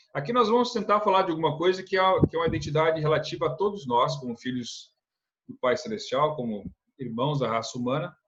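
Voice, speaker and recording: A man's voice: fairly high pitch, fairly bright tone, lo-fi sound. A man from teaching a church lesson, earnest, asking rhetorical questions and often adding a tag question. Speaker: religious instructor.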